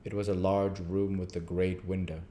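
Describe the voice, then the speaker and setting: A man with a low-pitched voice narrates a classic novel. He reads scripted prose aloud as a storybook narrator. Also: level tone, normal speed, dark tone, slight background noise. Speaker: audiobook reader.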